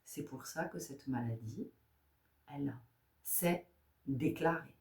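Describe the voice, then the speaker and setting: A woman's voice: a bass voice, takes it slowly, darkish tone, distant mic. A woman giving a spiritual tarot guidance talk, asking rhetorical questions, repeating phrases and often checking in with her listeners. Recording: echoey room.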